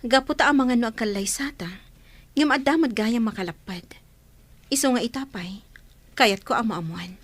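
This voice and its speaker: An earnest young bride-to-be played by a woman in a radio drama. She speaks in a fairly high voice with an Ilocano accent, as a devoted older sister. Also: normal speed, pauses often, no echo, lightly acted. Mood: earnest, uneasy.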